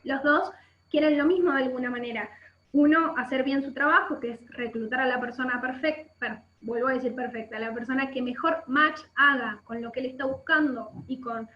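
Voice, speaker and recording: A woman's voice: high voice, a little fast. A woman with an Argentine accent giving an explanatory online workshop, often checking in with short questions, over a video call. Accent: Argentine Spanish. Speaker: workshop instructor. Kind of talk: instructional webinar talk. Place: indoor room by a window. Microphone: webcam video call. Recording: muffled, echoey video-call audio.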